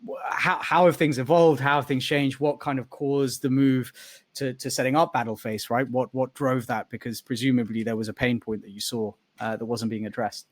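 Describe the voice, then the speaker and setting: A man's voice: medium pitch, steady tone, normal speed, dry recording. A man hosting an online interview session, rambling with many fillers and restarts. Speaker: host. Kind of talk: video call interview hosting.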